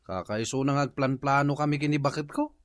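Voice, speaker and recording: A man's voice: medium pitch, brisk, lightly acted. An actor playing an eager, aggrieved younger married man in a comedic radio drama, earnest and complaining. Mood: defensive.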